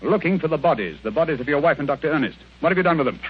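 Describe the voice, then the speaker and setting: A sardonic, commanding detective in an old radio drama. He talks fast in a fairly high, highly animated voice. His delivery is theatrical and sure of itself. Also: slightly rough. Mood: accusing, urgent. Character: confident, sardonic gentleman detective. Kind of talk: 1930s radio acting. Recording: muffled, noisy old radio recording.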